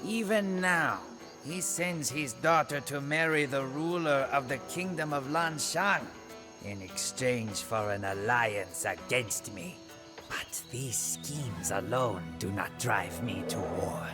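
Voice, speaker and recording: A man's voice: medium pitch, slow, close to an everyday voice. An actor playing a proud, brooding Burmese warrior king in a game, narrating his own story in a grave, theatrical, declamatory manner. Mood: brooding, ambitious. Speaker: narrator and protagonist of game cutscenes. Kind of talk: dramatic scripted first-person narration. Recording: voice over prominent music. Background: music.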